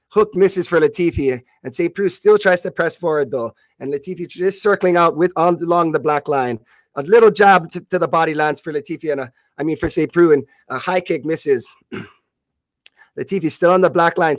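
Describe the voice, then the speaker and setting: A man calls a fight live in a fairly high male voice, close and dry on the recording. He narrates blow by blow as a radio commentator, haltingly, often repeating words and fixing his phrases as he goes. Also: no room sound. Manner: halting, repeats and corrects himself mid-phrase.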